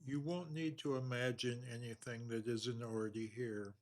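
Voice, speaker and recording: A man leading a guided body scan meditation in an unhurried, measured, soothing manner with long pauses. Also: mid-range voice, steady tone.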